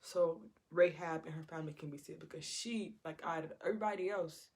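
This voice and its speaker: A woman's voice: low voice, a little fast, a little mellow. A woman giving an informal Bible teaching in a conversational way, repeating her phrases and acting out quotes. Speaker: preacher.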